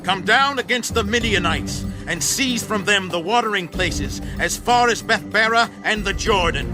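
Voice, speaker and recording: An actor playing a resolute Israelite military leader in a dramatized scripture production, speaking in declamatory, deliberate phrases. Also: male voice, high-pitched, animated. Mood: rousing command. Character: Gideon, commanding ancient Israelite military leader, earnest and resolute. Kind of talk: dramatized scripture, audio theater acting. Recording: orchestral music behind.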